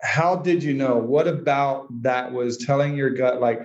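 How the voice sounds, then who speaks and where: A man's voice: mid-range voice, fairly even intonation, a little slow. A man hosting an interview show, asking friendly questions that ramble and restart. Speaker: podcast host. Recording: slightly dull, lo-fi.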